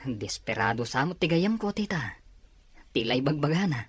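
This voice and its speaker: A sympathetic younger acquaintance in a radio drama. The voice is extremely low and husky, recorded dry. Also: female voice, expressive, normal speed, no room sound, exaggerated voice. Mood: concerned.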